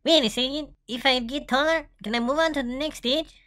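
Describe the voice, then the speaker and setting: A woman voicing a small, starstruck young male taco in a cartoon, earnest and excitable. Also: sits high, fairly quick. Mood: eager. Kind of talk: animated cartoon voice acting.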